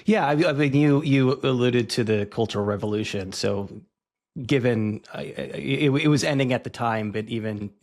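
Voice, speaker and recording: A man's voice: medium pitch, normal speed, darkish tone. A man hosting a podcast interview, asking thoughtful questions and rephrasing as he goes. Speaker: podcast host. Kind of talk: conversational interview.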